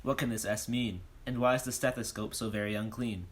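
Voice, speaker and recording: A man's voice: on the low side, steady tone, brisk, lightly acted. Man playing a pompous rhyming police detective. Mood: puzzled, deducing. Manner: declamatory, questioning, speaks in rhyme. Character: pompous, bold, self-important male police detective. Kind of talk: rhyming comic audio drama.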